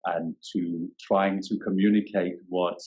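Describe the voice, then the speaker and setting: A man, a yoga teacher talking thoughtfully in a podcast interview, often pausing and restarting as he searches for words. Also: sits low, level tone, darkish tone, rough recording. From Denmark. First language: Danish.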